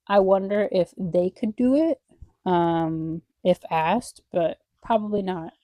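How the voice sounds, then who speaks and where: A woman's voice: mid-range voice, takes it slowly, no room sound, lo-fi sound. A young woman vlogger with a congested nose, chatting casually and wistfully while drawing, rambling with lots of fillers.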